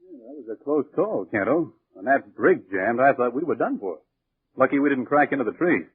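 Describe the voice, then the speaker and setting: An actor playing a casual, solicitous pilot in a 1940s radio drama, talking in an easygoing, colloquial way. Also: male voice, mid-range voice, sing-song, brisk, slightly rough, full character voice. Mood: casual, reassuring. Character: casual, solicitous pilot watching over his friend. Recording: tinny band-limited 1940s radio recording.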